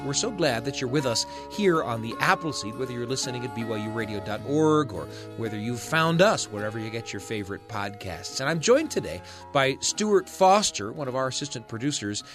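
A man hosting a radio show in an enthusiastic, welcoming way, moving between scripted links and friendly conversation. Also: mid-range voice.

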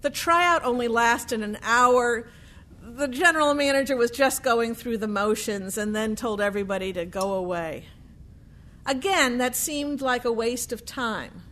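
A woman telling a true sports history story in a deliberate way, with dramatic pauses and emphasis. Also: a little high, fairly bright tone.

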